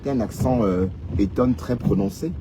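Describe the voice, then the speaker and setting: A man's voice: medium pitch, normal speed. Teasing Cameroonian man on a noisy live stream. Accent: Cameroonian French. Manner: teasing, mocking, peppered with "hein". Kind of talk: casual live-stream rant.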